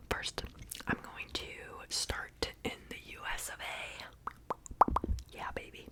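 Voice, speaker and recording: Young female ASMR whisperer, close-mic, repetitive trigger words, casual and playful. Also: slow pace. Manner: whispered, soft and rambling, repeating words over and over; playful, chatty asides to viewers. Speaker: ASMR creator.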